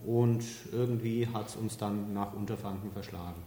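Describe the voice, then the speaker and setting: A man from Middle Franconia, a pastor and podcast guest, explaining his work in a relaxed way with an occasional chuckle. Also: on the low side, moderate pace. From Middle Franconia, Germany. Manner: relaxed, explains at length, chuckles now and then. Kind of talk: casual interview talk. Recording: echoey, reverberant room.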